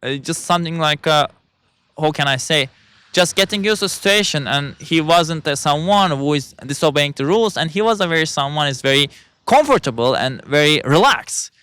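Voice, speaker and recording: Bright-voiced Turkish man, halting English. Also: fairly high pitch, animated, clear, resonant tone, no room sound. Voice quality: halting, searching for English words. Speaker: vlogger. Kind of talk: casual monologue.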